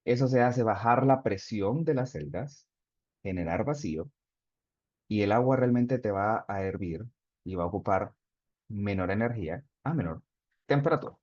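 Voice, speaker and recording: A man's voice: on the low side, lively intonation, moderate pace, a little mellow, dry recording, highs cut off. A man teaching an online class, explaining step by step and reading chat answers aloud. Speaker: instructor. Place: video call.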